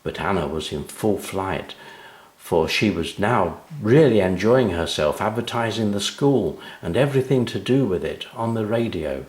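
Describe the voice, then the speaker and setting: A man reading a scripted children's story as narrator, in an expressive and deliberate storytelling manner. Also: lowish voice, reverberant. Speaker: author reading his own story.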